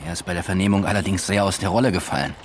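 A man's voice: deep voice, fairly even intonation, rapid-fire, slight background noise, lightly acted. A man playing a careful junior police detective in a radio drama, reporting in a factual, reserved way. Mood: careful, deferential. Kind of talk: German radio drama acting.

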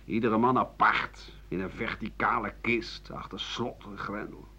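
An actor playing a bitter, aging exiled writer-doctor in a radio drama monologue, sardonic and halting, often sinking to a husky mutter. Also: male voice, fairly low pitch, steady tone, bright, forceful tone, heavily acted. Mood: grim, resigned. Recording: muffled 1980 radio broadcast.

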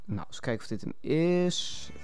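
A man's voice: fairly high pitch, lively intonation, a little slow, darkish tone, faint music behind. A man presenting live radio in a casual, improvised way, hesitant and often muttering to himself.

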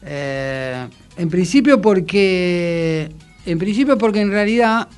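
A man's voice: high-pitched, takes it slowly, clear, resonant tone. A middle-aged man with an Argentine accent, a musician guest chatting casually and rambling a little. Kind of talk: casual chat. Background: faint music.

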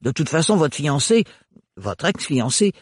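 A husky-voiced male narrator voicing a gruff, burly, blustering man in an audiobook. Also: a little high, big pitch swings, a little slow, dry recording, studio quality, heavily acted. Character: gruff burly working man, loyal and rough.

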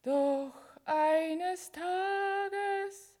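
A woman's voice: very high-pitched, a little mellow, faint music behind, heavily acted. An actress playing a faithful, patiently waiting woman, singing lyrically and tenderly in a 1960s radio drama. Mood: tender, longing. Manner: slow, lyrical singing delivery. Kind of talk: lullaby-like song in 1960s German radio drama.

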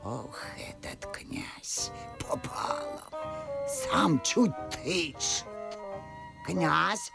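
A woman's voice: fairly low pitch, big pitch swings, gravelly, over music, exaggerated voice. A woman playing a hard-of-hearing, muddled old countess in a radio verse comedy, fussily exclaiming and questioning. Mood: alarmed, fussy. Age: older. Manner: mishearing, exclaiming, drawn-out questions. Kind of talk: classic verse comedy radio play acting.

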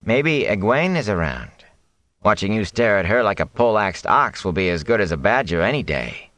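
A man's voice: on the low side, big pitch swings, normal speed, a bit bright, no room sound, voice pushed up. A man voicing an eager, mischievous young village man with a teasing manner.

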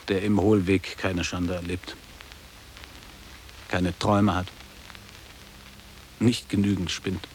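A man's voice: on the low side, darkish tone, breathy edge, rough recording. An actor playing a nostalgic man in his fifties in a radio play, declaiming literary reminiscences in clipped phrases. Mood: musing. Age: 50s. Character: nostalgic man around fifty revisiting his old boarding school.

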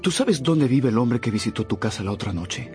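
A man's voice: mid-range voice, animated, fairly quick, a little mellow, soft background music, natural voice. An actor playing a lovesick, melancholy young man with a yearning manner in a radio drama. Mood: pleading, hopeful. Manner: subdued, yearning.

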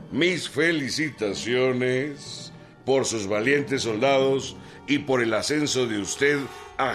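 An actor playing a formal military ruler, dictating an official message in a measured way for a radio drama. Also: male voice, medium pitch, fairly bright tone.

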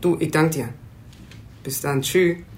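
A man playing a brisk, informal police officer with clipped, matey talk in a radio crime drama. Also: on the high side, steady tone, noisy background. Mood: businesslike, determined.

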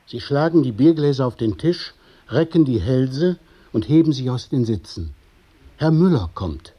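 A man narrating a radio play in the present tense, in a measured, literary storytelling manner. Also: mid-range voice, expressive, warm tone, breathy edge. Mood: observing. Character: observing narrator of stage directions.

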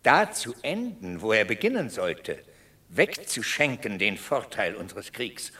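An actor playing a dignified, disapproving senator in a classical radio drama, formal and stern, away from the microphone. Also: male voice, fairly low pitch, big pitch swings, measured pace, bright, forceful tone, far from the mic, exaggerated voice.

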